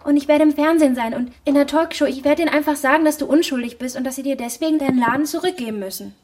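An actress as a young postwoman with a high voice, a dreamy daughter who gets defensive. The delivery is naturalistic radio drama, spoken like everyday dialogue. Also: rapid-fire, a little mellow, lightly acted. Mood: earnest, hopeful. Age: young adult.

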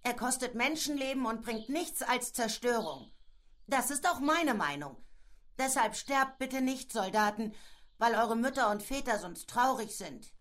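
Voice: medium pitch, expressive, a little slow, a bit bright. Eine Stimme aus einem deutschen Hörspiel spielt einen ernsten, eigensinnigen japanischen Schuljungen, hungrig und leicht begeistert. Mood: earnest, defiant. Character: earnest, stubborn Japanese schoolboy, hungry and excitable. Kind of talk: German radio drama acting. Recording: music behind the voice.

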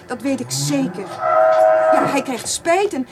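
A mature farmer's wife, fiercely protective of her family, in a radio play. Her voice is slightly high and very animated. She is chatty and presses her point insistently. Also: a little slow, vocal fry, full character voice. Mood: pleading, insistent. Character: protective farmer's wife and mother, middle-aged or older, insistent. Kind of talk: radio drama dialogue.

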